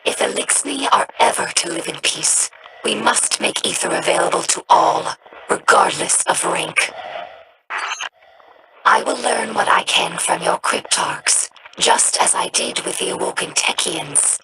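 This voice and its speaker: A woman voicing an earnest, scholarly young female alien in a video game, speaking in measured, formal, thoughtful phrases. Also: on the low side, creaky, background noise. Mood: earnest, hopeful. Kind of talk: video game character dialogue.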